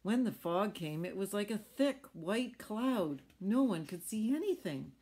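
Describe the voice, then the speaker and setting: A woman's voice: medium pitch, sing-song, a little slow, a little mellow, slightly rough. A middle-aged woman reading a picture book aloud to children, adding chatty explanations and little questions to her listeners. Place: small furnished room at home.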